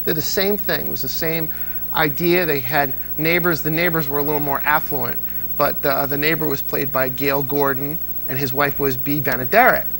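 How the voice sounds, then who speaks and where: A man's voice: fairly high pitch, steady tone, moderate pace, gravelly, fairly bright tone, a little hiss or hum, rough recording. A man being interviewed as an old-time radio fan, telling anecdotes eagerly with plenty of fillers. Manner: enthusiastic, anecdotal storyteller with many fillers. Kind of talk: casual interview.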